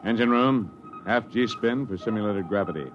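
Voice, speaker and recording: An actor playing a crisp, dutiful spaceship officer reporting to a superior in a 1950s radio drama. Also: male voice, lowish voice, normal speed, over music, close to an everyday voice. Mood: urgent, dutiful. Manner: crisp military replies. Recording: muffled, noisy old radio broadcast.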